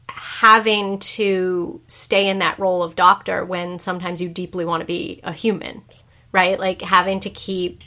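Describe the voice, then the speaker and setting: A woman's voice: lowish voice, bright, forceful tone. A woman interviewing as a podcast host, conversational and informal, engaged and reflective in how she responds.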